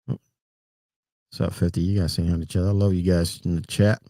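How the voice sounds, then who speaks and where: A middle-aged man with a Puerto Rican accent, hosting a relaxed, rambling livestream chat and greeting viewers by name. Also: low voice, moderate pace, dry recording. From Puerto Rico. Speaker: trucker livestream host.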